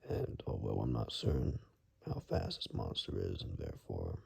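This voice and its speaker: A man playing a weary, rugged monster hunter in an ASMR roleplay, grave and halting, in a gravelly, breathy near-whisper. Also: extremely low pitch, wildly swooping pitch, heavily acted. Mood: grave, warning.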